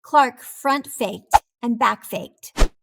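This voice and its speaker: A woman narrating a children's picture book aloud with expressive, deliberate phrasing. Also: on the high side, creaky, heavy background noise.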